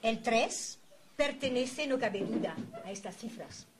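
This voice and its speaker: Woman interviewer, noisy room ambience, somewhat high pitch, formal scripted introduction, florid literary wording, solemn and deliberate. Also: animated. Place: university event recorded for a filmed programme. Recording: clear background noise, room ambience.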